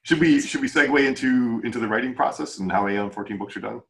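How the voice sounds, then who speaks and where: A man's voice: mid-range voice, fast talker, gravelly, a bit bright. A man with a Canadian accent hosting a podcast in a casual, chatty way. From Saskatchewan, Canada. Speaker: podcast host, author. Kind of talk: casual chat.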